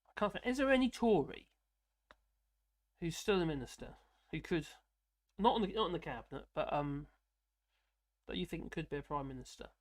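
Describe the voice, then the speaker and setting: A man's voice: expressive, normal speed, long pauses. Light-voiced British man chatting casually. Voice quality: fairly high voice for a man. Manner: rambling, self-deprecating, chuckling often. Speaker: live stream host. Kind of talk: casual live chat reading comments.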